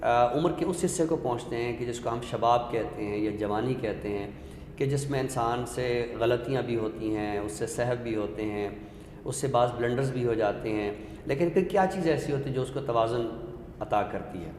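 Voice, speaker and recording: A man's voice: mid-range voice, darkish tone. Scholarly man in an echoey room. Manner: measured, scholarly, with rhetorical questions. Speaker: literary expert guest on a talk show. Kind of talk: explanatory literary discussion. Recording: echoey hall-like room with faint noise.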